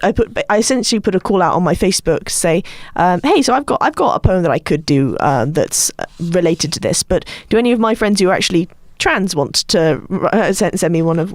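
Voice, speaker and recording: A woman, co-hosting a poetry podcast in a halting, hesitant way. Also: mid-range voice, no echo, studio quality. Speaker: podcast co-host and poet. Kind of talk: casual podcast chat and poem reading.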